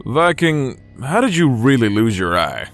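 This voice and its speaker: Male actor as laid-back western outlaw, medium pitch, wry, folksy, game fan dub. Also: big pitch swings, measured pace. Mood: wry, skeptical. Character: laid-back, skeptical Old West gunslinger outlaw. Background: music.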